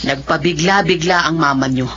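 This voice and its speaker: An actress playing a concerned middle-aged Filipino aunt in a radio drama. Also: female voice, low voice, moderate pace, fairly bright tone. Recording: dull lo-fi audio, clear hum.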